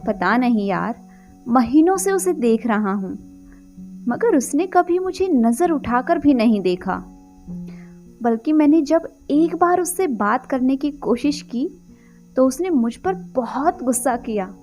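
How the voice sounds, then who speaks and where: A woman's voice: sits high, very animated, takes it slowly, mellow, faint music behind, heavily acted. A woman storyteller voicing a rich, lovelorn young bachelor who talks to his buddy in a casual, wistful way. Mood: wistful, complaining.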